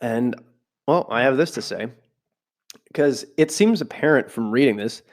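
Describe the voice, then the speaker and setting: Male podcast host, home setup, stuffy with a cold, reads a book aloud and drifts into loose asides, mid-pitched. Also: lively intonation, measured pace, slight background noise. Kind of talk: casual podcast, reading from a book with side comments. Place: home room, not a studio.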